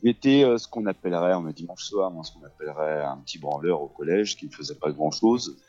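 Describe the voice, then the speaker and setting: A middle-aged man from France speaks in a very deep, low voice, stumbling through his answers with frequent fillers and false starts. The recording sounds dull and lo-fi, like an old radio capture. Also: expressive, fairly quick, warm tone, soft background music. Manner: hesitant, many fillers and restarts. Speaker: guest, actor and theatre teacher. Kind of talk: casual radio interview.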